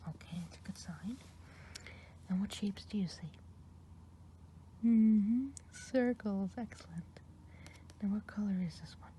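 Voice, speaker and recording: Lady doing a gentle good witch healer, whispering soft and slow, asmr style, little bit of hiss behind. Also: mid-range voice, close to an everyday voice. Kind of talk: ASMR roleplay.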